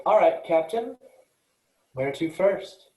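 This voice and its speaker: Actor doing earnest sidekick space programmer guy, mellow voice, very up and down, echoey room. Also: mid-range voice, expressive, slow. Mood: wry, easygoing. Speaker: actor in a student play reading. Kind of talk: virtual staged reading of a sci-fi comedy. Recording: roomy echo.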